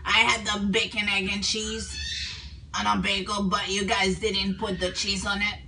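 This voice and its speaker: A woman's voice: medium pitch, level tone, normal speed, sharp tone. A young woman speaking casually, making prank phone calls from a small room at home. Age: young adult. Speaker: prank caller. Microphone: camera a few feet away. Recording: distant microphone with faint noise.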